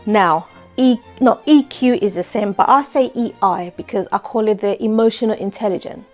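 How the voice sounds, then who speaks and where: A woman's voice: medium pitch, normal speed, a little mellow, faint music behind. Woman giving an earnest monologue. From Democratic Republic of Congo. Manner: earnest, repeats words, frequent "you know". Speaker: life coach and show host. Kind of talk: talk-show monologue.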